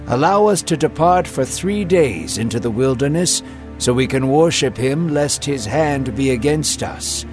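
An earnest spokesman, put on by a male reader, makes a formal, pleading request before a king. The delivery is slow, with clear background music. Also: mid-range voice, expressive, close to an everyday voice.

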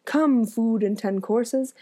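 A woman voicing a magical young wife who commands the furniture and dishes of her house, read in a calm storybook manner. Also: a little high, slow pace. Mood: commanding.

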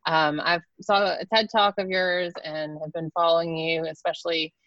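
A woman hosting an online chat who talks in a chatty, soft-spoken way and laughs often. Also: sits low, level tone, moderate pace, dry recording, lo-fi sound. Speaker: host. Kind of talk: casual chat, opening with a guided meditation.

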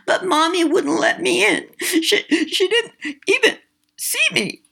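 A woman's voice: extremely high pitch, expressive, slow, gravelly, bright, forceful tone, pitched above the performer's own voice, heavily acted. Woman doing a tiny lisping ghost girl. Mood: plaintive. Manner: lisping, whispery, childlike.

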